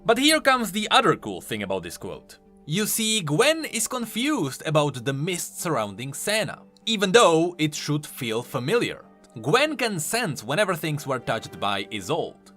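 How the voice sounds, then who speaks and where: A man's voice: on the high side, wildly swooping pitch, a little slow, bright, forceful tone, faint music behind. A man giving scripted, explanatory video commentary on game lore, stressing key words emphatically.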